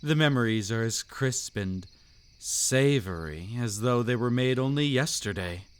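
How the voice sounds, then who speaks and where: A man's voice: medium pitch, lively intonation, unhurried, clear, resonant tone, close to an everyday voice. A man voicing a suave, predatory old vampire count in a scripted roleplay audio, with an aristocratic, silky, teasing and theatrical delivery. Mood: relishing, sinister.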